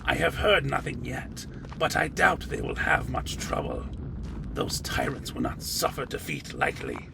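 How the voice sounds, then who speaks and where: A man acts a loyal vampire warrior with a heavily creaking, gravelly voice. He is blunt and dryly chiding, a fantasy audio drama bodyguard, recorded against clear background noise. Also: medium pitch, wildly swooping pitch, unhurried, exaggerated voice. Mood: dry, measured. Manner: dry, chiding, formal. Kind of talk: fan audio drama dialogue.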